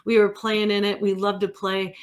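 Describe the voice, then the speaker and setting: A woman's voice: mid-range voice, little pitch movement, moderate pace. A woman in her fifties from California with an American accent, hosting an interview and talking casually from personal memory. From California, United States. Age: 50s. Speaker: interviewer, host. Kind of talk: casual conversational reminiscing.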